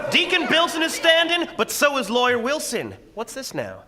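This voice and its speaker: A man playing an excitable, folksy rustic townsman who exclaims from a meeting floor in a live radio drama. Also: very high-pitched, moderate pace, full character voice. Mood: astonished. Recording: noisy live hall, far from mic. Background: loud crowd.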